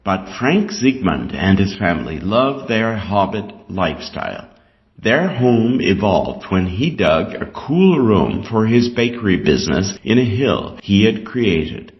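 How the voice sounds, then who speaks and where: A Canadian man reading scripted test material aloud in a deliberate, instructional way. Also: on the low side, sing-song. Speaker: reader of an English exam reading passage. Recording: dull, lo-fi sound.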